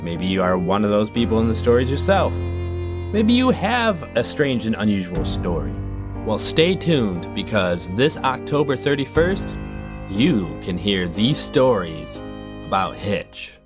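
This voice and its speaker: A man's voice: fairly low pitch, very animated, unhurried, music in the background. A man playing a wry ride-share driver who narrates his spooky encounters in an audio drama trailer. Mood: wry, intriguing.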